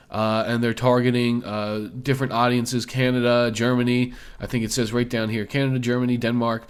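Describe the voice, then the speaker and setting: A man with a slightly hoarse voice from being sick, hosting a stock video in a loose, conversational way with many fillers. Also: medium pitch. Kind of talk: casual screen-share commentary.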